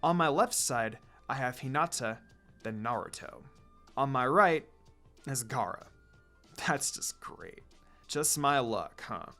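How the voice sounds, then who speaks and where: A man's voice: mid-range voice, lively intonation, slow pace, pauses often, bright, forceful tone. A man reading a fan-written story aloud as a dramatic first-person narrator. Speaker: fanfiction reader. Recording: background music under the voice. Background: music.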